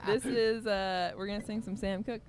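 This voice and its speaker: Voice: mid-range voice, steady tone, normal speed, a little hiss or hum. A radio co-host chatting casually in a laid-back way, often with brief agreeing replies.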